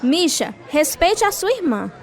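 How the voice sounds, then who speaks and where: A grieving mother in a radio drama. Her voice is high, earnest and sorrowful, the steadfast tone of a devout wife, with faint music behind. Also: sing-song, a little slow, a faint crowd, heavily acted. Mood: firm, consoling. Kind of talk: radio drama acting and first-person narration.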